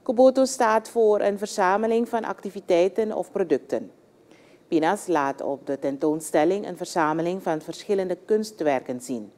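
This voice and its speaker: A woman's voice: on the low side, lively intonation, moderate pace, darkish tone. A woman narrating a scripted television news report.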